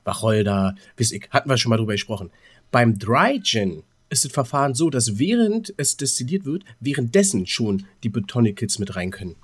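A man's voice: medium pitch, big pitch swings, fairly quick, breathy edge. A man co-hosting a podcast in casual, joking chat. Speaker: podcast co-host.

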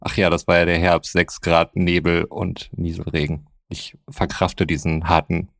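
A man's voice: sits low, little pitch movement, moderate pace, gravelly, a bit bright, dry recording. A man co-hosting a casual podcast, teasing his co-hosts with dry banter. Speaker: podcast co-host. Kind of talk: casual chat.

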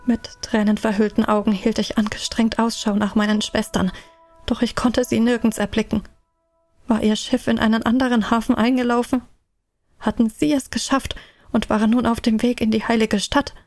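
A woman narrating an audio drama in first person, solemn and measured. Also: on the high side, flat delivery, a little mellow, faint music behind.

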